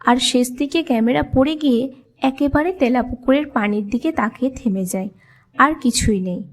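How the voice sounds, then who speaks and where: High-voiced woman narrating a ghost story. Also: normal speed. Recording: background music behind the voice.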